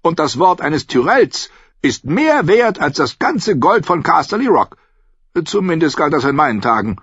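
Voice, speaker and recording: A man voicing a sharp-tongued, commanding old noblewoman in an audiobook, imperious and blunt. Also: on the high side, very animated, normal speed, husky, no echo, muffled recording, pitched above the performer's own voice, heavily acted. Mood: brisk, knowing. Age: older.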